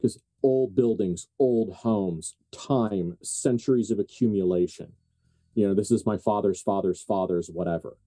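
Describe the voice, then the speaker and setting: A man narrating as game master in an improvised tabletop game, phrasing things deliberately and thoughtfully with emphatic pauses. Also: on the low side, dark tone, no echo.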